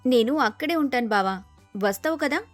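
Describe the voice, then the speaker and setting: A clever, determined young daughter-in-law from a storybook, voiced by a woman. Her voice is fairly high and earnest, respectful yet firm. It is recorded dry. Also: animated, moderate pace, no echo, faint music behind. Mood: calm.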